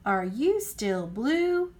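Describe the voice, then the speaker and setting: A woman's voice: a little high, big pitch swings, extremely slow, a little mellow, full character voice. A woman voicing a cheerful, simple, good-hearted male train driver in a folksy, easygoing way for a picture book. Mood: eager, curious. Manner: folksy, easygoing, puzzled questioning.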